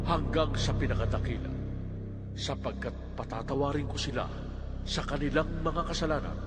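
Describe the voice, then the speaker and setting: A man's voice: on the high side, natural voice. A man playing God in a dramatized scripture reading, solemn, commanding and declarative. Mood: solemn promise. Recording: long hall reverb, loud music underneath. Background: loud music.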